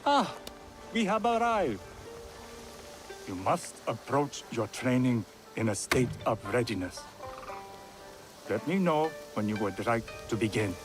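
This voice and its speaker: Game role: older Japanese tea master, unhurried, gently instructive, expressive pitch. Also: male voice, over music. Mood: calm, reflective. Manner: measured, formal, gently instructive. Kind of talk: video game cutscene dialogue.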